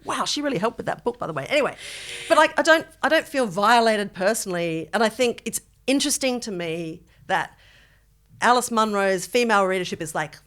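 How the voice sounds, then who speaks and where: A middle-aged Australian woman co-hosting a podcast in casual chat, with an animated, wry way of talking and a habit of mimicking others. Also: mid-range voice, brisk. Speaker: podcast co-host.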